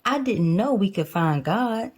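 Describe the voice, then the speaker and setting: A woman voicing a curious, eager little bear cub from a storybook, full of excitable questions. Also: medium pitch, unhurried, husky. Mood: excited.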